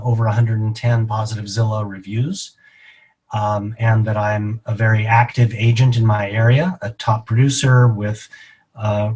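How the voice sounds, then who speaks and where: A middle-aged man being interviewed as a real estate agent, explaining his answers in a measured, deliberate way. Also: lowish voice.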